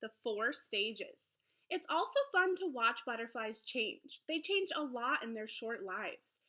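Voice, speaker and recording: Bright, high-voiced woman teacher reading aloud. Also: sing-song, unhurried. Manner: encouraging, speaking to young children. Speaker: elementary school teacher. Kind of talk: children's read-aloud lesson.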